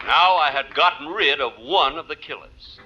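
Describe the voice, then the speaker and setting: A man playing a town marshal who narrates with wry, mock-solemn deadpan in an American radio comedy. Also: a little high, over loud music, over a noisy crowd, lightly acted. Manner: deadpan, measured comic pauses. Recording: muffled, noisy old radio recording.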